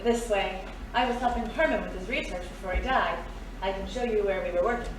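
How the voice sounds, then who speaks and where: A woman's voice: medium pitch, brisk, natural voice. A woman playing a sly, confident thief in an audio drama, with a coy, knowing manner. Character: sly, confident female thief, fond of old partner. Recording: very echoey, distant mic, noisy.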